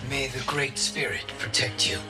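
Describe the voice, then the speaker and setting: An actor playing a noble, courteous warrior deity in a video game, speaking formally and calmly. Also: male voice, mid-range voice, moderate pace, over music, natural voice. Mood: calm, earnest. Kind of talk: video game cinematic voice acting.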